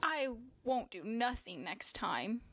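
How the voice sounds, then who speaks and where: Woman as a high-pitched, tearful little girl bear. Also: steady tone, slow, gravelly, heavily acted. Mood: meek, sad. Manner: plaintive, crying, childlike. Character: sad, hurt young girl bear, tearful.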